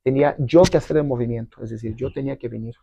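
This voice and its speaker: A man's voice: medium pitch, fast talker, gravelly, mellow, breathy edge, no room sound, lo-fi sound. A middle-aged man whose first language is not Spanish, telling his story in a casual interview, rambling with many fillers and tag questions. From abroad, not Mexico. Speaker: guest entrepreneur.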